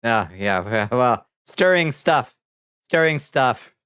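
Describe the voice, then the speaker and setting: A man hosting a livestream, chatting casually with viewers and commenting on news in a halting, profane, muttering way. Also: medium pitch, animated, a bit bright, clean tone, dry recording, studio quality. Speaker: livestream host reading viewer chat.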